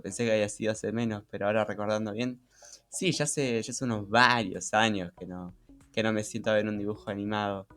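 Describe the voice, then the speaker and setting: A young man with a Rioplatense accent talks casually as a podcast co-host. His voice is on the low side and his delivery is reflective, repeating words as he searches for the next. Soft music runs underneath. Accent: Rioplatense Spanish. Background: background music.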